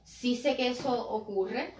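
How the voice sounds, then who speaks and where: A woman's voice: fairly high pitch, takes it slowly, a little hiss or hum. A Puerto Rican woman speaking Spanish with a Puerto Rican accent in a conversational discussion, as a guest. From Puerto Rico. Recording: echoey room, distant microphone.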